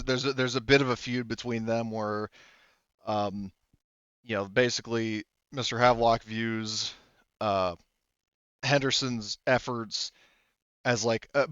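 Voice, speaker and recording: A man's voice: mid-range voice, fairly bright tone, clear, resonant tone, no echo, muffled recording. A man running a tabletop roleplaying game, loosely narrating and relaying what characters say in an improvised way. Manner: loose, relays characters' speech in third person. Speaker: game master.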